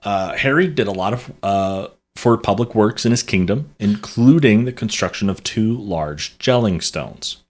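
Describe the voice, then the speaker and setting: A man with a fairly low voice hosts a relaxed history podcast. He explains the topic in a loose, rambling way, stumbling and correcting himself as he goes. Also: unhurried, hardly any pauses. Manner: rambling, many fillers and restarts, self-correcting. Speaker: podcast co-host presenting the topic. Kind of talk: casual history podcast chat.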